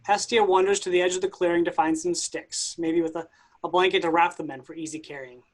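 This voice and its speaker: A woman's voice: on the low side, steady tone, fairly quick. A woman reading out stage directions as scripted narration for a play reading.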